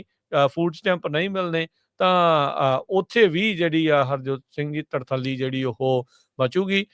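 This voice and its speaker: A man giving unscripted news commentary as a radio co-host, halting and repeating filler phrases. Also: on the high side, clean tone, no room sound, studio quality.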